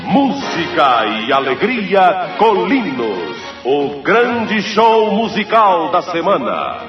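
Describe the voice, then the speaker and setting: A man's voice: sing-song, slow pace, recorded from a distance. A man with a Brazilian accent announcing a vintage radio show in a grand, theatrical showman style. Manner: grand, booming showman delivery. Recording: muffled echoey old radio archive, loud music.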